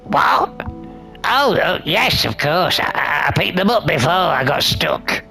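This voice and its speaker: A man voicing a flustered, evasive old wizard from a visual novel, with a creaky voice and a hesitant, stammering delivery. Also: a little high, sing-song, slow, bright, forceful tone, faint music behind, exaggerated voice. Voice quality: gravelly, creaky. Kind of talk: visual novel character read-aloud.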